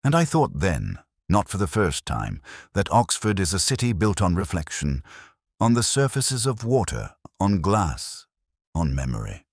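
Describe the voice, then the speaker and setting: A man reading a scripted first-person detective story in a measured, reflective, literary manner. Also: deep voice, huge pitch swings, no room sound, clean studio sound. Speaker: narrator.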